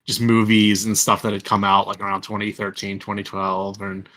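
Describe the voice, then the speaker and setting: A man's voice: fairly low pitch, monotone, moderate pace, fairly bright tone. A man in a casual chat, rambling and often restarting his sentences. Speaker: podcast panelist and fandub voice actor.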